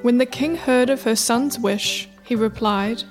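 Woman narrating a folktale over music. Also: a little high. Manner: measured, literary storytelling. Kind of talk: scripted folktale storytelling narration.

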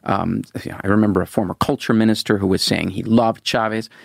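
Middle-aged guy from venezuela, gravelly voice, earnest, keeps restarting sentences, dry sound. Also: on the low side, steady tone, a little fast, dry recording. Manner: earnest, repeats words when starting sentences. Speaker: interview guest. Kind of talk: political interview.

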